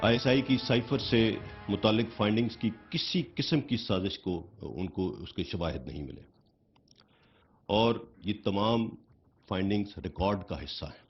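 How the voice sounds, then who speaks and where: Very fast, lowish-voiced Pakistani official. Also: male voice. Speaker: military official. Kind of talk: formal press conference statement. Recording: dull clip with music underneath. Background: background music.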